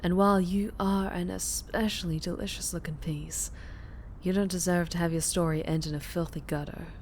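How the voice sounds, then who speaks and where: A woman's voice: on the low side, fairly even intonation, normal speed, a little mellow, a little hiss or hum, lightly acted. A woman playing a fierce, flirtatious vampire biker with a Southern US accent, teasing and protective in an intimate ASMR roleplay. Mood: soothing, flirtatious.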